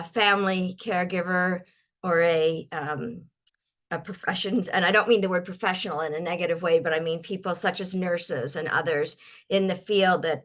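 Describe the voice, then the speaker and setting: An older woman from Ontario giving a webinar talk, her voice a little croaky, halting often with fillers and restarts. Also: lowish voice, fairly bright tone. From Ontario, Canada. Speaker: guest speaker.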